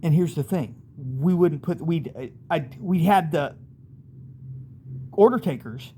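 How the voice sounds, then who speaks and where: A man's voice: a little high, measured pace, dark tone. American man rambling candidly, noisy background. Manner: rambling, candid, street-slang speech. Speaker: storyteller, channel host. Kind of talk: casual first-person storytelling monologue.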